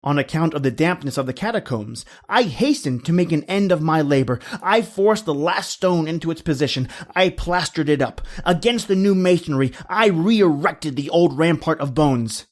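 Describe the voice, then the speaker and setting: A man playing a cold, vengeful nobleman who narrates his crime in a deliberate, dramatic horror reading. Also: a little high, animated, lightly acted. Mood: impatient, grim. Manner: theatrical, deliberate, dramatic storytelling.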